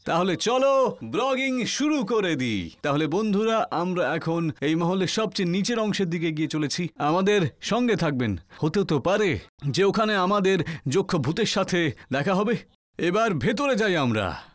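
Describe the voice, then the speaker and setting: A cocky young male vlogger in an audio horror tale, played by a man. He is brash and impatient, with a fairly high voice recorded close and dry. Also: big pitch swings, moderate pace, no echo. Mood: breezy, bold. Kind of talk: audio horror story acting.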